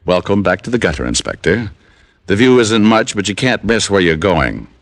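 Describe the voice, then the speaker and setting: An actor playing a hard-boiled American private detective in an old-time radio drama, wry, clipped and pushy. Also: male voice, fairly low pitch, sing-song, moderate pace, noisy background. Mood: cool, pressing.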